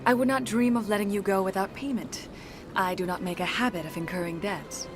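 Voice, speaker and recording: Mystical game alien voiced by a woman, medium pitch, serene, measured, formal phrasing, clear background music. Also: steady tone, natural voice. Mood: gracious. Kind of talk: video game cutscene acting. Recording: music behind.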